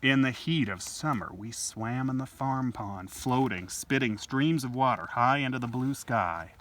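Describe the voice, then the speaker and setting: Man, children's storytime host, gentle and unhurried, bright voice, a bit far from the mic, faint background noise. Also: medium pitch. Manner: gentle, unhurried, explaining things to a small child. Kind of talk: reading a picture book aloud with chatty asides. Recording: distant home-video mic with faint noise.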